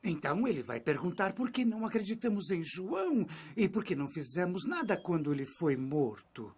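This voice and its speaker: High-pitched, pompous religious elder. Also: male voice, sing-song, normal speed, a little mellow, a little hiss or hum. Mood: flustered scheming. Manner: pompous, theatrical. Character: indignant, scheming male religious elder confronting a preacher. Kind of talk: dubbed animated Bible drama.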